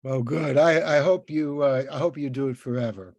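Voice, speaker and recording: A man hosting a casual podcast interview, talking in a halting, rambling, encouraging way. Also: medium pitch, darkish tone, no echo. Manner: halting, rambling, with many repeated word starts.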